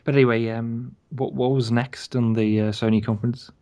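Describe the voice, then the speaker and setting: A man's voice: medium pitch, flat delivery, a little fast, warm tone. A man co-hosting a podcast, rambling hesitantly in casual chat about games. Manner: rambling, hesitant, many fillers and repeats. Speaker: podcast co-host.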